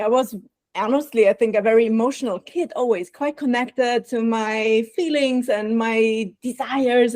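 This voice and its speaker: A woman's voice: on the high side, no room sound. Austrian woman, dry close sound. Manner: warm, reflective, laughs often. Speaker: guest, burnout prevention coach. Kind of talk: podcast interview.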